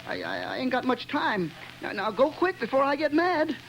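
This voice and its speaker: A man's voice: extremely high pitch, wildly swooping pitch, moderate pace, a bit bright, exaggerated voice, low-quality recording. A man playing an unhinged bomber who stammers, giggles and talks like a child, in old-time radio drama style. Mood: wheedling. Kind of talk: 1930s radio drama acting. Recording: old radio recording with noise.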